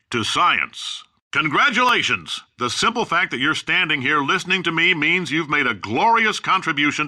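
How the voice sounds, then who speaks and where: A man's voice: on the high side, pushed and bright, heavily acted. An actor playing a brash, callous older company boss in a video game, with folksy salesman bluster and blunt dry asides. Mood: brash, upbeat. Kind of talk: scripted video game voice acting, recorded announcement.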